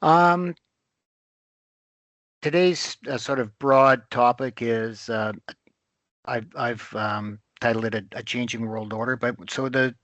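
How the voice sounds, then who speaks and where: Guy hosting a finance podcast, kinda halting, mid pitch, dry close mic. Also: a bit bright, no room sound. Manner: halting, many uh fillers. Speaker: host.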